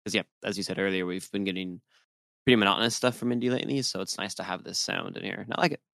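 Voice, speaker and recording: A man co-hosting a music podcast, enthusiastic and blunt, swearing casually in relaxed chat. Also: low-pitched, racing, gravelly, dry recording, studio quality. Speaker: podcast co-host.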